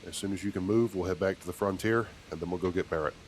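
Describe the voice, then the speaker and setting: An actor playing a commanding, protective starship captain in a video game, speaking in a measured, dry, authoritative way. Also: male voice, sits low, a little hiss or hum, natural voice. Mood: resolute. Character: steady, commanding male starship captain, dryly skeptical, protective. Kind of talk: video game character dialogue.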